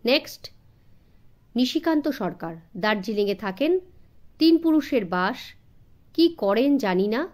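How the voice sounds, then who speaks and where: Female audiobook narrator, fairly high voice, very animated pitch, dramatic scripted storytelling for a young audience. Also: unhurried, long pauses.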